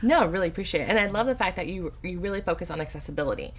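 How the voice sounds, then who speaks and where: A woman hosting an interview, enthusiastic and effusive, quick to affirm her guest. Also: lowish voice, lively intonation. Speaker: interviewer host. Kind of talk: interview chat.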